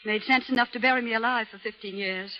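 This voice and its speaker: A woman's voice: on the high side, fairly even intonation, quick pace, natural voice. An actress playing a young female convict, a naive country girl who pleads and despairs, in a vintage radio drama. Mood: weary. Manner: pleading, distraught. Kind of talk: 1940s radio acting. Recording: dull lo-fi old radio transcription with faint hiss.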